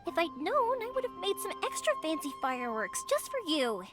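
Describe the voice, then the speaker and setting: A bubbly young fireworks girl with a very high, bouncy voice that swoops widely as she chats eagerly. Game dialogue over faint music. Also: huge pitch swings, fairly quick, heavily acted. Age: young adult. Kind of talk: game voice acting.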